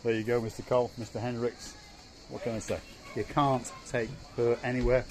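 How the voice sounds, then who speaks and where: A man talking to his camera as he strolls around an outdoor resort, chatty and teasing. His voice sits at an ordinary middle pitch. Faint outdoor noise runs under the sound. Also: level tone, fairly quick. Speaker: vlog host. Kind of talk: casual walking tour vlog.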